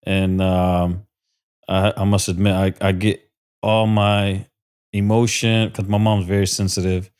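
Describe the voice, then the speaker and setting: A man's voice: deep voice, little pitch movement, a little slow, darkish tone, clean tone. A man from South Florida, a podcast guest, talking earnestly and emotionally with many fillers and restarts. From South Florida, USA. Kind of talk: casual interview talk.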